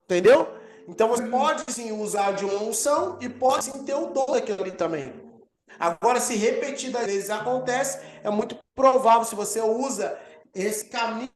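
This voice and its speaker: A man with a Brazilian accent, an apostle teaching a healing course over a video call, energetic and emphatic as he answers questions. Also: extremely high pitch, normal speed. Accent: Brazilian Portuguese. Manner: energetic preacher, swells and emphasizes key words. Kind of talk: live online teaching with Q&A.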